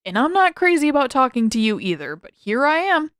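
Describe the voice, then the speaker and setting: A woman's voice: high voice, very animated, a little fast, a bit bright, clean tone, no room sound, studio quality, pitched above the performer's own voice. A woman voicing a sardonic, irritable teenage boy in a fantasy story, emphatic and impatient. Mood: irritated.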